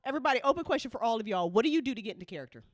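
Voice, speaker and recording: A woman's voice: on the high side, expressive, very fast, dry recording, studio quality. A woman hosting a casual chat with guests, playful and gushing, happy to swear. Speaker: host and audio drama writer.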